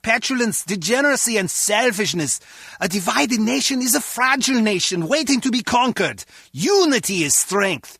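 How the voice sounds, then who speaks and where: High-voiced, pedantic German henchman. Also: bright, forceful tone, no room sound, clean studio sound, full character voice. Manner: earnest, pedantic, lecturing. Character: philosophical German henchman guard, earnest and excitable. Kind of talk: video game character dialogue.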